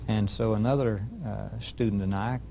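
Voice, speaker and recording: A man hosting an interview, asking questions and telling an anecdote in a measured, deliberate way. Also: fairly low pitch, steady tone, creaky, warm tone, slight background noise. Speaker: host interviewer.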